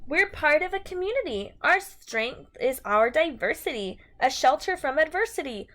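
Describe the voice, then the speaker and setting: High-pitched woman reading to young children. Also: very animated, normal speed, sharp tone. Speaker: teacher reading a picture book aloud to young children. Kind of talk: read-aloud storytime for children.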